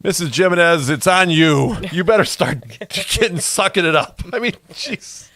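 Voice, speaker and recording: A man's voice: sits high, bright, forceful tone, no room sound. A man hosting a morning talk radio show who teases callers and hurries them along. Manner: teasing, quick to cut callers off. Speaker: radio host.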